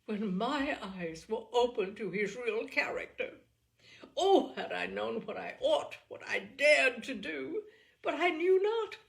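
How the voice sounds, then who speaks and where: Woman acting out a distraught, high-pitched young gentlewoman. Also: sing-song, slow, creaky, heavily acted. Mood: anguished, hopeless. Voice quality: breathless, breaking voice. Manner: emphatic, anguished exclamations. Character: distraught young gentlewoman, breathless, agitated, near tears. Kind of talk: dramatised audiobook reading.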